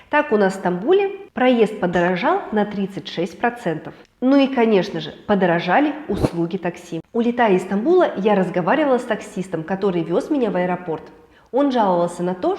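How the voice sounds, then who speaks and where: A woman hosting a weekly celebrity and travel news roundup, hoarse from a cold, lively and chatty with personal asides. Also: medium pitch, reverberant. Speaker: news show host.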